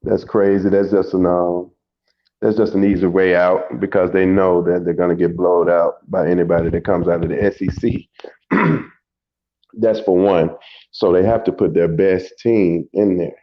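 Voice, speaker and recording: A man calling in as a guest, chatting about football in a relaxed way. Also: deep voice, moderate pace, lo-fi sound. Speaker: guest caller. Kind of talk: casual chat.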